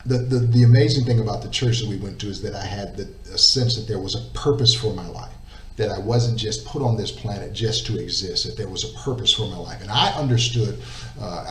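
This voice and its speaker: A man's voice: on the low side, darkish tone. A middle-aged man telling his faith story as an interview guest, emphatic, repeating words and asking "right?". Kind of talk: earnest faith testimony. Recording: roomy echo, distant mic, faint noise.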